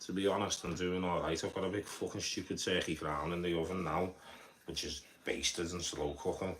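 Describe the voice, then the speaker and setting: A man's voice: deep voice, steady tone, a little fast, fairly bright tone. A young man with a Liverpool accent chatting casually to viewers on a live stream, rambling, repeating words and swearing offhandedly. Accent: Liverpool (Scouse). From Liverpool, England. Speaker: livestreamer. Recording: faint background noise.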